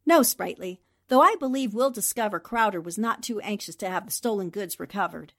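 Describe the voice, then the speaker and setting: A woman playing a shrewd, self-assured 1940s newspaperwoman in a radio drama, explaining things precisely and with gentle teasing. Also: medium pitch, expressive, fairly quick. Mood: composed, assured.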